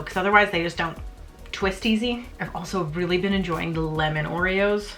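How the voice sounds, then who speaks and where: A woman's voice: medium pitch, a bit bright. A young woman, chatting in a casual home vlog about her snacks, bubbly and self-mocking, often laughing. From Canada. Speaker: vlogger.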